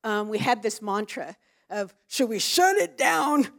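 A woman's voice: a little high, animated, measured pace, vocal fry. A woman leading church ministry, talking in a casual, frank way with frequent fillers. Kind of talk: casual chat.

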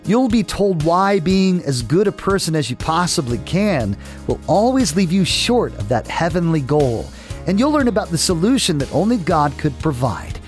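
A man's voice: fairly high pitch, sing-song, normal speed. A male radio announcer reading a scripted summary of the day's program and a preview of the next one. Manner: smooth announcer delivery. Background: soft music bed.

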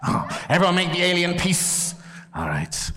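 A man's voice: high voice, wildly swooping pitch, normal speed, bright, forceful tone. A man presenting a playful object lesson to children in church, laughing often and calling out to the room. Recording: echoey hall.

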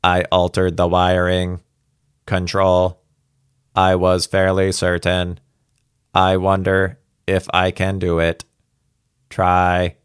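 A man voicing an old professor's disembodied mind speaking through a ship, flat, faint and faltering in slow fragments. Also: sits low, clear, resonant tone, dry recording, clean studio sound. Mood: faltering, musing. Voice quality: toneless, faint, mechanical.

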